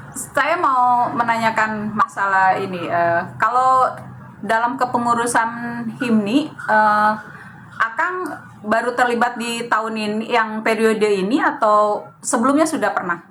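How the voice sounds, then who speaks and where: A woman hosts an alumni interview at a slow, deliberate pace, leaning on filler sounds between her phrases. Her voice sits slightly high and sounds bright. A faint hiss of noise sits behind her. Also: rough recording. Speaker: host.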